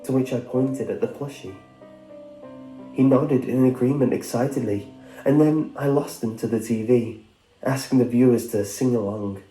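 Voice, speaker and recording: A man reading a scripted first-person story as a narrator, with a dark, muted tone. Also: medium pitch, moderate pace. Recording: roomy sound with music behind. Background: background music.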